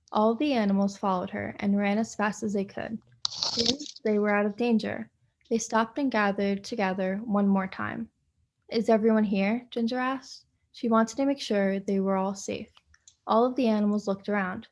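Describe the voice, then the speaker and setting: A woman volunteer reading a children's story aloud in a clear, steady way over a video call. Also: mid-range voice, moderate pace. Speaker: volunteer reader. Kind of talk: reading a picture book aloud.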